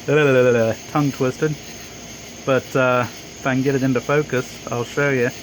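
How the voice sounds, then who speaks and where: A man's voice: medium pitch, flat delivery, moderate pace. A man chatting casually as an amateur radio operator, in a hesitant, rambling way. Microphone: handheld phone camera. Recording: noisy phone recording, insects, air conditioner. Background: insects chirping, air conditioner hum.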